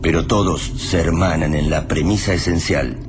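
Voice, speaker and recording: An actor as a solemn omniscient narrator, giving scripted dramatic radio narration. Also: male voice, a bass voice, fairly even intonation, moderate pace, noisy background.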